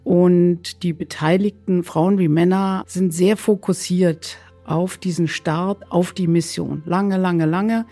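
A woman speaking as an expert flight physician in an interview, explaining haltingly and repeating words for emphasis. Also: lowish voice, steady tone, warm tone, faint music behind.

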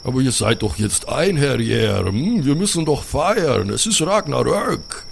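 A man's voice: medium pitch, sing-song, measured pace, vocal fry, a little hiss or hum, voice pushed up, heavily acted. A man playing a jovial Viking warrior in an improvised fantasy audio play, hearty and coaxing.

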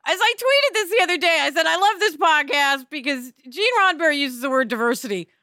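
A woman with a high, bright voice hosts a casual podcast, recorded close and dry. She is effusive and emphatic, swooping lively through her sentences and wandering into asides. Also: fairly quick, no echo. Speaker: podcast host.

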